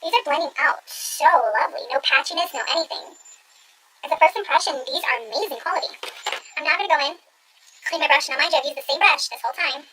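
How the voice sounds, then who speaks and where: A woman's voice: high voice, fast talker, sharp tone, a little hiss or hum, rough recording. A woman talking through a makeup tutorial at home, chatty and gushing as she gives step-by-step instructions. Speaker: beauty vlogger.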